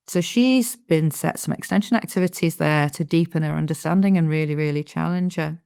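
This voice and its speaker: Gravelly-voiced British woman demoing software. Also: on the low side. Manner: clear, explanatory. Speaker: product demonstrator. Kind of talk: software demo walkthrough. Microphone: video call.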